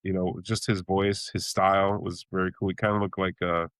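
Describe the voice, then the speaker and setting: A lower-voiced man from Chicago talks shop as a blues singer and bandleader, looping through restarts before landing his point. He acts out reactions with sudden jumps into high exclamations. The sound is dry and close. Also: level tone, fairly quick, a bit bright, clean tone, dry recording. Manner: rambling, with many restarts. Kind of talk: casual interview talk.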